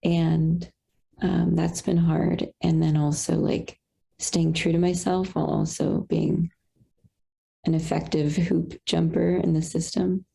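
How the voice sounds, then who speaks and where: Gravelly, husky young woman on a call. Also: on the low side, little pitch movement, warm tone. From Colombian roots. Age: young adult. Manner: reflective, halting, many fillers. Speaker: satsang participant. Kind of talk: casual sharing on a video call. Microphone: video call.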